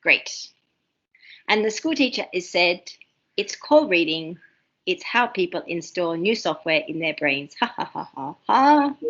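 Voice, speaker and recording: An Australian woman runs an online university writing seminar, talking quickly and eagerly, full of ums and restarts. Her voice sits fairly low. The video call audio is dull and muffled. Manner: fast, excitable, many ums and restarts.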